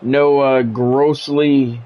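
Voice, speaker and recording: A man's voice: medium pitch, flat delivery, extremely slow, a little mellow, muffled recording. A man doing casual sports game commentary, drifting between announcer-style play calls and conversational musing.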